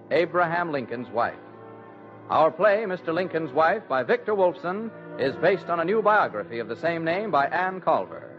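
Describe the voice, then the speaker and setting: High-pitched, animated 1940s radio announcer man. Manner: formal, declamatory. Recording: dull 1940s radio recording with music.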